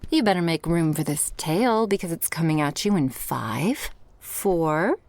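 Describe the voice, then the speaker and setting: A woman's voice: sits low, animated, measured pace, darkish tone, no echo. A woman playing a tough, sassy shark-girl girlfriend in an intimate ASMR roleplay, blunt and sardonic. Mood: playful, teasing.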